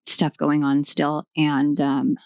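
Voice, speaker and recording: A hoarse young woman giving a casual tarot reading at home, rambling and affectionate, with many ums. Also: sits low, completely flat delivery, slow, dry recording, studio quality. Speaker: tarot reader. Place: at home in a room.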